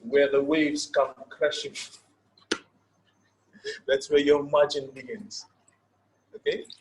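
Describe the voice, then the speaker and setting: A man from Fiji with a Fijian accent, a native Fijian speaker, giving an informal lecture. He pauses often, repeats words and checks in with his listeners. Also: fairly high pitch, fairly even intonation, low-quality recording. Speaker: session leader.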